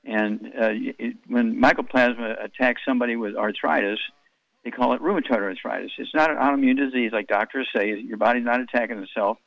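A man hosting a radio health call-in show, telling rambling stories and firing brisk questions at callers. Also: mid-range voice, fairly even intonation, gravelly, no room sound, dull audio.

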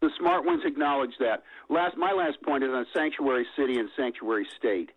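An older man calling in to a radio talk show, blunt and opinionated, with halting restarts. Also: mid-range voice, big pitch swings. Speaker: caller. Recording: tinny phone line.

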